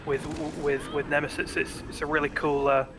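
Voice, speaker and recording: A man's voice: a little high, flat delivery, normal speed, music in the background. A man guesting on a game stream, chatting casually and stammering, repeating words often. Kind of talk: casual chat.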